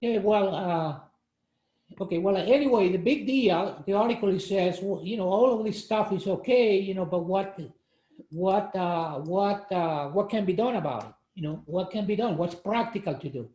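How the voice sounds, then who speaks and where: A man's voice: high-pitched, moderate pace, mellow, reverberant, poor audio. A man in his sixties, born in Cuba, leading a casual group discussion on a video call, explaining an article with many fillers and restarts. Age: 60s. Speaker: discussion leader.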